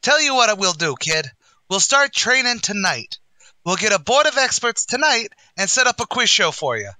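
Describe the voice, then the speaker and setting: A man plays a bluffing, boastful tavern manager in old-time radio comedy style. His voice is high and very sharp, swooping through folksy, mangled-grammar patter. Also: big pitch swings, moderate pace, no room sound, exaggerated voice. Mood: scheming. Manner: folksy, ungrammatical, bluffing wise-guy delivery. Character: blustering, boastful, uneducated tavern manager uncle.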